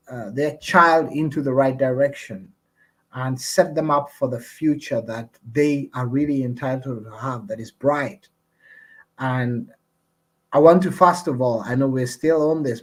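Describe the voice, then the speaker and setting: A man hosting an online discussion over a video call, speaking in an earnest, appreciative and measured way. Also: mid-range voice. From Uganda. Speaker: host and community representative.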